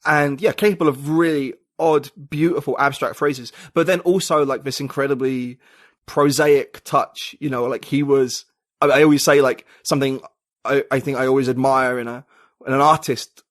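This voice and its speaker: A man's voice: mid-range voice, steady tone, moderate pace, no echo, clean studio sound. A man, a podcast guest talking reflectively and searching for his words in casual conversation.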